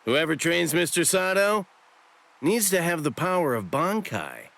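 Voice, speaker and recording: An actor playing a sly, breezy shopkeeper in an anime game dub, with a glib, theatrical, playful way of talking. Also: male voice, on the high side, sing-song, measured pace, gravelly, fairly bright tone, full character voice. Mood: matter-of-fact. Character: sly, breezy shopkeeper hiding great power, cheekily self-flattering.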